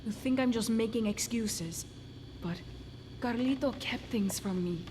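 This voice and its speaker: An actress playing a grave, guarded medical researcher in a video game cutscene, explaining in a measured, clinical way. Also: female voice, fairly high pitch, background noise, lightly acted. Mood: troubled, defensive.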